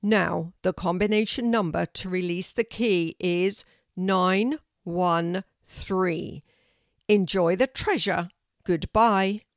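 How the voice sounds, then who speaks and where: Lady doing a big loud magic oak tree teacher, slow and bossy but kind. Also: lowish voice, no echo, clean studio sound. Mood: pleased.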